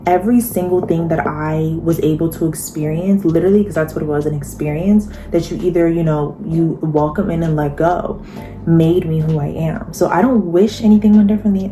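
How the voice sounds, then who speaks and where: A woman's voice: sits low, background noise. A woman in her twenties giving life advice in a casual vlog, chatty and emphatic, often checking in with her viewers. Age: 20s. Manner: chatty and emphatic, frequent "okay?" and "y'all".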